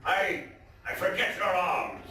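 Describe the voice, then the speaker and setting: A man's voice: sits high, fairly even intonation, slow pace, bright, forceful tone, pitched above the performer's own voice, full character voice. A man voicing a drunken, boastful, scornful nobleman with a cough, in a theatrical gothic story reading. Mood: tipsy, curious. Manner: exclamatory, swaggering. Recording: roomy distant stage recording, faint noise.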